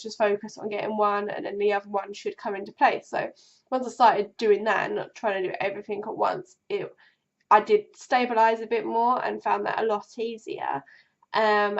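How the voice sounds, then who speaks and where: A young woman talks straight to camera in a casual vlog. She has a cold, so her voice is bunged up and keeps fading. She rambles with plenty of ums and false starts, and the recording sounds dull and a little lo-fi. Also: mid-range voice. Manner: rambling, many ums and restarts. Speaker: vlogger.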